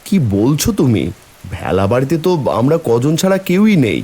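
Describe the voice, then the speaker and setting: A man's voice: medium pitch, sing-song, normal speed, dark tone. A man voicing a good-natured, worried village husband and father in a cartoon fairy tale. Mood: resigned, puzzled.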